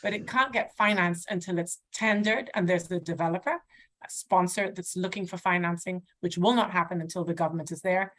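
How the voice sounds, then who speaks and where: A woman's voice: fairly low pitch, brisk, fairly bright tone. Panelist, female, finance voice, assertive and fluent with asides, over a video call. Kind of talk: panel discussion.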